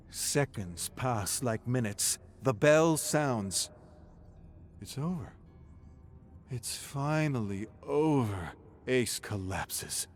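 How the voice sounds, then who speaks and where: A man's voice: medium pitch, a little mellow. Man narrating dramatically over background noise. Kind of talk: dramatic scripted story narration.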